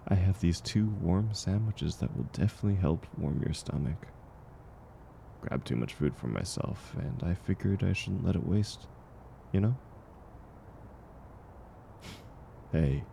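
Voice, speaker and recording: A man acting a kind, gentle stranger in an intimate audio roleplay, speaking reassuringly and unhurriedly to the listener. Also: deep voice, animated, vocal fry, slight background noise, full character voice. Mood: caring, encouraging. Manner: soft-spoken, reassuring, unhurried. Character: kind, gentle man, once homeless, sharing dinner with a stranger.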